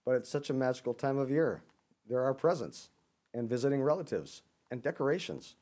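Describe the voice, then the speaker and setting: A man's voice: mid-range voice, lively intonation, a little fast, voice pushed up, lightly acted. A man voicing a cheery, eager female bird in a children's storybook. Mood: amazed, eager. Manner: bright, eager, exclaiming. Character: cheery chirping female oxpecker bird, festive enthusiast.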